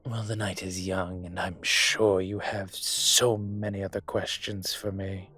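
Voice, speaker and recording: A man playing a suave, teasing dragon mafia boss in an intimate roleplay audio, speaking deliberately with a husky voice. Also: low voice, flat delivery, bright, forceful tone, faint music behind, heavily acted. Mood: flirtatious, teasing. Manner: smooth-talking, deliberate, teasing.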